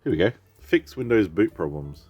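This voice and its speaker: A man's voice: deep voice, sing-song, moderate pace, slightly rough, muffled recording, faint music behind. A man with a head cold, rambling casually as he repairs a computer and thinks aloud. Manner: rambling, thinks aloud, reads on-screen text. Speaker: PC repair vlogger. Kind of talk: casual rambling commentary while working.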